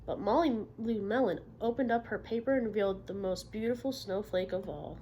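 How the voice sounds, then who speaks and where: A woman with a mid-range voice reads a children's storybook aloud in the manner of a teacher. Her delivery is expressive and emphatic, with encouraging questions that check the listeners follow along. A light layer of room noise sits behind her. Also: medium pitch, a little fast, low-quality recording. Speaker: teacher reading a picture book aloud to children.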